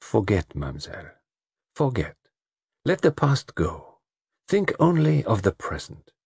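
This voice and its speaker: Guy doing a fussy detective, old and courtly, precise and gentle, husky and dry. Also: fairly low pitch, sing-song, normal speed, no room sound, pitched above the performer's own voice. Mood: soothing. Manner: courtly, precise, softly coaxing. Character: famous fussy Belgian detective, older man, polite and gentle. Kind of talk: audiobook character reading.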